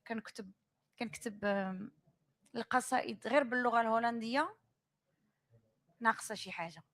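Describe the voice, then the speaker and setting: Brisk Dutch-born woman poet, higher voice. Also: fairly bright tone. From Netherlands. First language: Dutch and Moroccan Arabic. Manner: thoughtful, switches between English and Moroccan Arabic while telling stories. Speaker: poet on a panel. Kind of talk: panel discussion.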